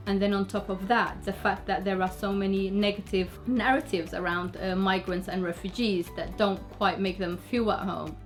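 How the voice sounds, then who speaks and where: Fluent woman explaining a programme. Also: mid-range voice. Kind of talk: interview narration.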